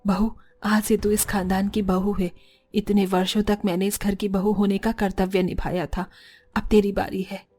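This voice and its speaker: A woman's voice: medium pitch, flat delivery, moderate pace, dark tone, faint music behind, natural voice. A woman voicing an older mother-in-law, authoritative and doting on her daughter, in a cartoon family drama. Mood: solemn.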